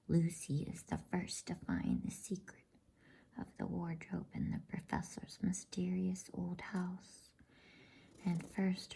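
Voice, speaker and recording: A woman whispers softly and breathily in a gentle, informal, unhurried way, hosting an ASMR bedtime reading. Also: lowish voice, gravelly, husky, low-quality recording. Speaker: bedtime story reader and host.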